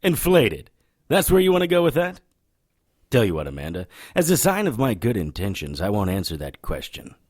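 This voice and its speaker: An actor playing a cocky, world-weary supernatural detective in a noir audio drama, sardonic and flippant. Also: male voice, fairly low pitch, huge pitch swings, moderate pace, darkish tone, dry recording, studio quality. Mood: flirtatious, teasing.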